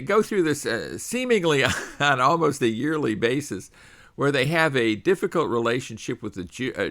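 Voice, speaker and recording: Male radio host, middle-aged, bright forceful tone, conversational with uh fillers, studio mic. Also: mid-range voice. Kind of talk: radio talk show hosting.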